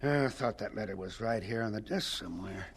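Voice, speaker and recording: Husky, flustered, self-important college president. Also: male voice, fairly low pitch, brisk. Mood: boastful, flustered. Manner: hesitant, sputtering, self-important. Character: flustered, proud, stuffy college president, protective of his school. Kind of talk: radio drama acting.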